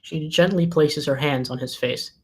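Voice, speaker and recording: A man's voice: fairly high pitch, moderate pace, breathy edge. A man reading scripted screenplay directions as the narrator of a table read.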